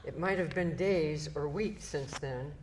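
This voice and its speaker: Woman, low voice, steady reading aloud, noisy room recording. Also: unhurried. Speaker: audience volunteer reading a ghost's story.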